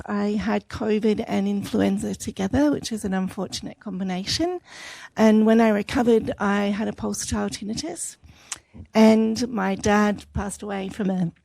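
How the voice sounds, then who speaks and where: A woman's voice: medium pitch, vocal fry, mellow, no echo, low-quality recording. An Australian woman in her fifties introducing herself to a class in a chatty, self-deprecating way. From Adelaide, Australia. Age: 50s. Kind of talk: self-introduction at a welcome session.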